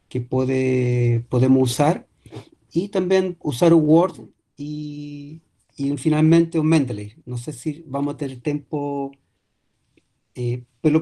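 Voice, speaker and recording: A man's voice: mid-range voice, mellow. A man from Chile with a Chilean accent, a native Spanish speaker, giving an online academic lecture over a video call with many hesitations and restarts. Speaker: guest lecturer.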